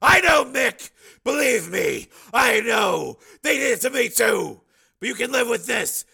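A man voicing a desperate, protective cyborg father in a story reading, urgently shouting pleas and orders. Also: very high voice, big pitch swings, measured pace, creaky, breathy edge, voice pushed up, full character voice. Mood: pleading.

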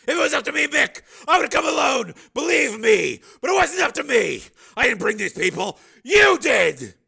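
A man voicing a male ex-partner who shouts and pleads across a distance. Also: very high voice, sing-song, moderate pace, creaky, pitched above the performer's own voice, heavily acted. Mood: pleading.

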